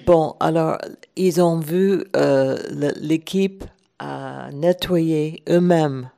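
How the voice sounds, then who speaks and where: A woman's voice: sits low, drawn-out words, gravelly, mellow. A woman from Ireland, speaking French as an as a radio guest, hesitant and searching for words. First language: English. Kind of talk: relaxed radio chat.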